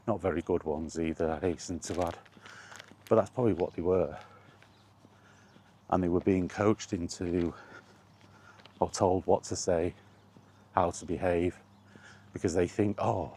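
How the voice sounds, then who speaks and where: A man's voice: sits low, fairly even intonation, a little slow, pauses often, mellow, a little hiss or hum. A British man chatting casually in a wry, colloquial way, like a solo vlogger rambling through his thoughts. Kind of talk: casual rambling chat about gaming.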